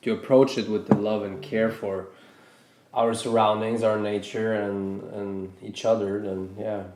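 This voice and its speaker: A man from Norway in his thirties, hosting a podcast in a reflective, casual way, pausing and restarting his sentences as he thinks aloud. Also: on the low side, level tone, darkish tone, roomy sound, a little hiss or hum, poor audio. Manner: thoughtful, hesitant with many restarts. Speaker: podcast host.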